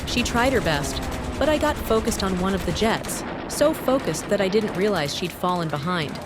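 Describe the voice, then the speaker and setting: A woman voicing a grieving, soft-hearted female warplane haunted by war, speaking in a somber, confessional way in an animated drama. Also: mid-range voice, moderate pace, very noisy, close to an everyday voice. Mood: grieving, guilty.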